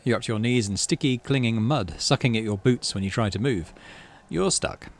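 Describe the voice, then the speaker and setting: A man, middle-aged, hosting a game playthrough, mixing casual commentary with expressive story reading. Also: mid-range voice, moderate pace. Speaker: let's play host.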